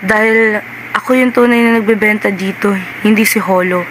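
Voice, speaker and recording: A woman's voice: mid-range voice, steady tone, normal speed, noisy background, natural voice. A Filipino woman playing a guilty, defensive murderess in an amateur Tagalog radio drama. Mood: bitter, confessing.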